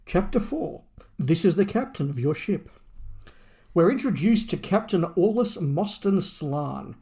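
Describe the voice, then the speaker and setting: Creaky, higher-voiced man reading a synopsis. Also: lively intonation, a little slow, a little mellow. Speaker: podcast host. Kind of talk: reading plot summary, review podcast.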